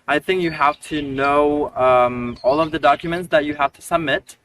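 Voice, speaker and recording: A man from Indonesia, giving hesitant advice as an interview guest, with many pauses and restarts. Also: a little high, steady tone, fairly bright tone. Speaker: scholarship recipient guest. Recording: distant mic with faint noise.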